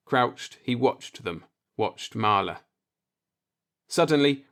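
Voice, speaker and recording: A male audiobook narrator with a medium-pitched voice reads scripted fiction. He delivers descriptive science fiction prose as a storyteller. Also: a bit bright.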